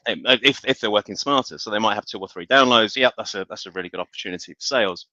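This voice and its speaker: A man explaining things in a measured, consultant-like way as an expert on a business webinar. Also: on the low side, sharp tone, no room sound. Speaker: industry expert panelist. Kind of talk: conversational business webinar discussion. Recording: dull, band-limited online call audio.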